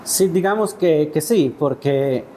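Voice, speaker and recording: Salvadoran male writer, soft mellow tone, reflective and halting interview answers, faint ambient noise. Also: a little high, animated. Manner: thoughtful, hesitant, often seeking agreement with tag questions. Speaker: interview guest, poet and writer.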